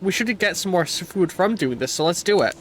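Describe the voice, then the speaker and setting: A man's voice: high voice, lively intonation, brisk, a bit bright, a little hiss or hum. A man with a stuffy nose streaming a game, reading its text aloud and stumbling over words, with bursts of playful trash talk. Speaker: let's play streamer. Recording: faint game music behind the voice.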